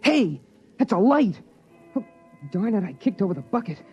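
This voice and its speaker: A man's voice: high voice, big pitch swings, moderate pace, over music. Boy narrator, anxious and eager, old radio play, dull lo-fi sound. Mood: startled. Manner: earnest, breathless boyish delivery. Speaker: narrator and young son. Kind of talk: 1950s radio drama acting. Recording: muffled 1950s radio broadcast.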